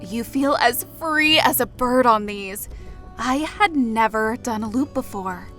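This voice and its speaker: A woman's voice: on the high side, animated, measured pace, fairly bright tone, soft background music. A woman playing a playful, easygoing pregnant woman in a fantasy audiobook, chatting in a casual, gossipy way. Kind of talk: fantasy audiobook dialogue over music.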